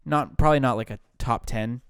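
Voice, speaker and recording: Casual podcast co-host, man, smooth resonant voice, hedges and backtracks a lot. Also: medium pitch, fairly quick, no echo, studio quality. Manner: hedging, thinks aloud, backtracks. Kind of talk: casual sports chat.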